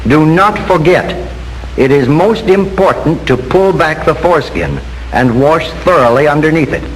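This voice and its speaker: An American man reads a scripted 1940s instructional film narration in a formal, measured, instructive manner. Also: on the high side, lively intonation, soft background music. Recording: noisy 1940s film soundtrack with hiss.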